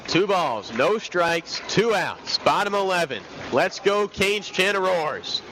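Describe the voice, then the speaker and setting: Higher-voiced young male baseball announcer, loud background noise. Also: big pitch swings, slow. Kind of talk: live radio sports commentary. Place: outdoor baseball stadium broadcast booth. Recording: live outdoor broadcast with loud ballpark noise. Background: loud stadium ambience.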